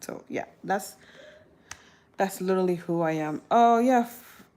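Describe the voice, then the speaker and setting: A woman's voice: medium pitch, a little slow, dark tone. A woman in her thirties from South Sudan, chatting casually to her viewers with frequent laughs and restarts. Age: 30s. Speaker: vlogger answering viewer questions. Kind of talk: casual talk to camera.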